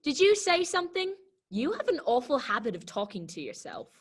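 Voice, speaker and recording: A snooty garden flower voiced by a woman looks down on everyone in a children's storybook play. The voice is high and lively in its swings, with a condescending, snippy edge. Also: a little fast. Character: snooty, blunt female talking garden flower. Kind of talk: children's readers theater read-aloud.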